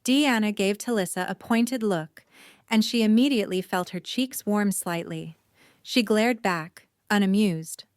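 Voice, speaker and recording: A woman's voice: medium pitch, measured pace, clear, resonant tone, no echo, clean studio sound. A woman reading scripted story narration for an audio drama.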